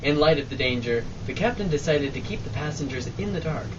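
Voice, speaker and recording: A man's voice: fairly high pitch, expressive, brisk. A man reading scripted narration as the announcer of an old-time radio drama. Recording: muffled, distant live recording, background noise.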